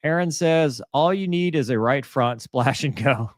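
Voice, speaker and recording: A man's voice: mid-range voice, a little slow, no room sound, clean studio sound. A man co-hosting a casual live stream, reading viewer comments aloud and joking around. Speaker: co-host reading viewer chat.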